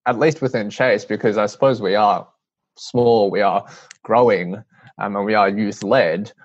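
Young australian man, low-pitched, earnest and measured, dry slightly muffled sound. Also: no room sound. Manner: earnest, measured, with frequent restarts and "um"s. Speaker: guest, CEO of a youth-led charity. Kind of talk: interview answers.

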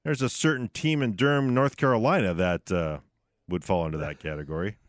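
A man's voice: on the low side, steady tone, brisk, dry recording. A man co-hosting a casual sports radio show from the studio, talking conversationally with hedges and asides.

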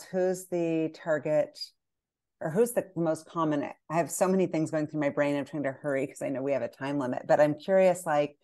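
A woman interviewing a guest, asking curious, rambling questions. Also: sits low. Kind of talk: conversational interview.

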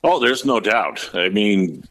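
A man in his late fifties, a sports broadcaster, reminiscing agreeably as an interview guest in a measured way. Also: fairly low pitch, expressive, a bit bright. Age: late 50s. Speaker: podcast guest, professional basketball play-by-play broadcaster. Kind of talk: reflective interview conversation.

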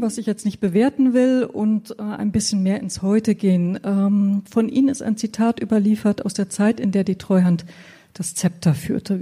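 A woman moderating a public talk in front of an audience, asking polite, measured questions with some hesitant fillers. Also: mid-range voice, warm tone. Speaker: moderator and interviewer.

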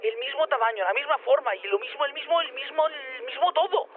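A man playing an excitable, scatterbrained inventor who rambles, stammers and repeats himself over a phone link. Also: very high voice, brisk. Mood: giddy, amazed. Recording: narrow phone-like radio link.

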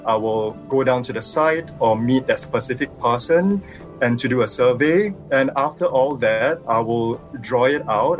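A man from Singapore with a Singaporean accent, a visual artist calling into a radio interview over the phone, explaining his work in a thoughtful, measured way. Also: mid-range voice. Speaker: visual artist and sculptor guest. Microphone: phone line.

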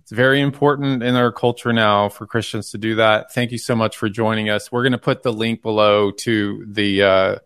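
A man's voice: on the low side, fairly even intonation, moderate pace, few pauses, fairly bright tone, smooth, no room sound. Man, podcast host, affirming, dry close sound. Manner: affirming, thankful interviewer. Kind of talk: interview.

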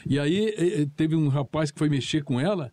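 An older man, a former TV director, telling lively anecdotes and acting out the orders he once gave. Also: on the high side, moderate pace. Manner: lively, acts out shouted commands in retelling. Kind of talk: anecdotal storytelling.

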